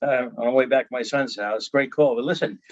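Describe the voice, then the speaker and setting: A middle-aged or older American man joining a call as a salesperson, delivering a pitch in an emphatic, deliberate way. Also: fairly even intonation, no room sound. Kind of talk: rehearsed sales pitch in conversation. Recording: dull, band-limited call audio.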